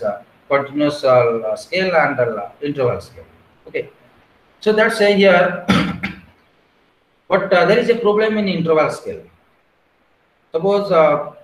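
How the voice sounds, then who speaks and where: A man, giving an online lecture and explaining step by step with frequent "uh" and "okay". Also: on the high side. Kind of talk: online lecture on research methods. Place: small room at home. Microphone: wired earphone mic on a video call. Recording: echoey room with faint background noise.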